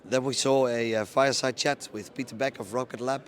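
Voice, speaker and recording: A man with a hoarse voice he says he has lost, casually recapping a conference to camera, plain and steady with many ums. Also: medium pitch, fairly quick. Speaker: event reporter recapping a conference.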